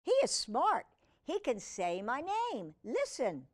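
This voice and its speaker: A woman reading a storybook voices an excited young boy, eager and proud, with emphatic delivery. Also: on the high side, slow pace, full character voice.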